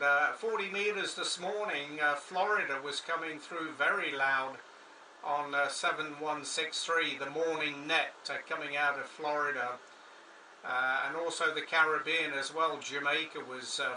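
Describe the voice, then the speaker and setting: A man with a very bright, forceful voice chats about radio conditions in a halting way, stopping often on little fillers. He sounds a little away from the mic, with faint noise underneath. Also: a little high, distant mic, low-quality recording. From Scotland. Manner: halting, many filler pauses. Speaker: amateur radio operator, show host. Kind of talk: casual radio contact chat.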